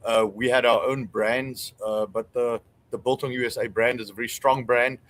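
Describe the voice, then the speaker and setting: A man's voice: medium pitch, flat delivery, moderate pace, a bit bright. A man with a South African accent, chatting casually as a guest in an easygoing, good-humored way. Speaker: guest, small business owner. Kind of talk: casual chat.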